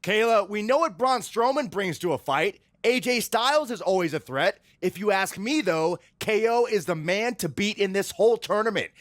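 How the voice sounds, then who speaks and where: A man's voice: high voice, expressive, normal speed, hardly any pauses, fairly bright tone, no echo. An opinionated male wrestling co-host in a video game. He gives scripted match analysis like a TV pundit, in a tight, dry studio recording. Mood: keen, opinionated. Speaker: sports show analyst. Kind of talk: scripted wrestling preview commentary.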